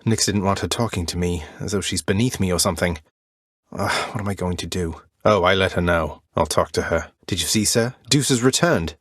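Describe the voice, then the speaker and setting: Low-voiced, wry young underworld prince. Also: male voice, brisk, fairly bright tone, no room sound. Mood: concerned. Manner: dry, understated, courteous, wry delivery. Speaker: video game voice actor. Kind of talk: scripted game character dialogue.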